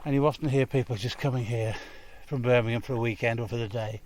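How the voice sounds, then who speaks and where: A man's voice: medium pitch, fairly even intonation, fast talker, a little mellow. A man, a guest musician, chatting casually and laughing often during an interview on an outdoor walk.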